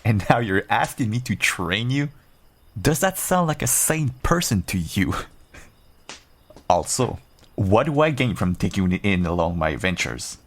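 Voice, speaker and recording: A man playing a seasoned werewolf adventurer, gruff but kindly and wry, talking straight to the listener in an audio roleplay. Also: lowish voice, sing-song, a little slow. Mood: incredulous, teasing. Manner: reassuring, wry, bantering. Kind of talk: audio roleplay, one-sided dialogue addressed to listener.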